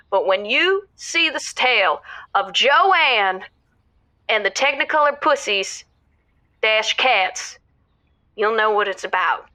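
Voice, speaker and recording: An actress playing an excitable, grandiose woman writer in an audio comedy, emphatic and self-important. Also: mid-range voice, dry recording. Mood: grand, conspiratorial. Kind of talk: audio comedy drama dialogue.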